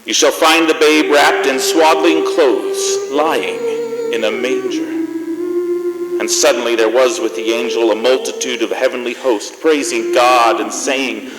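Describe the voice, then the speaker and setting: A man's voice: medium pitch, measured pace, over music, lightly acted. A man playing a genial, sentimental host of a Christmas variety show, speaking and reading from the stage. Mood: solemn. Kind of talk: stage hosting and scripted reading. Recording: echoey hall with faint noise.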